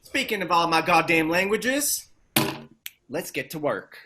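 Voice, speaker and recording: A man's voice: fairly high pitch, very animated, unhurried, over music. A man playing a wry, gung-ho activist in a dramatic table read, speaking in clipped, punchy phrases. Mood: sardonic, urgent. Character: McKenna, wry, gung-ho male militant activist. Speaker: actor.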